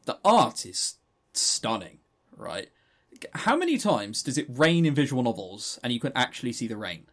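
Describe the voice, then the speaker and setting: A man's voice: medium pitch, very animated, normal speed, a bit bright. A man co-hosting a podcast, casually reviewing a game and retelling its story in a rambling, chatty way with stammering restarts. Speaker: podcast co-host.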